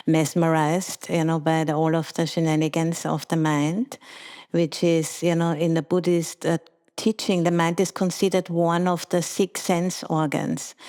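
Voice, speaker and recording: A middle-aged woman from Austria with an Austrian German accent, speaking as a Buddhist nun giving a dharma talk at a retreat, slow and halting, with many fillers and restarts. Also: low-pitched, dark tone.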